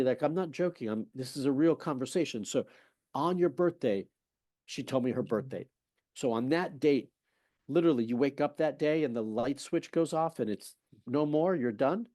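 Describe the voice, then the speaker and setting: Man, fertility specialist guest, warm mellow tone, dry close sound, emphatic, rhetorical. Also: a little high, no room sound. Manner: emphatic, persuasive, rhetorical questions; conversational, retells patient exchanges. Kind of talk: podcast interview talk.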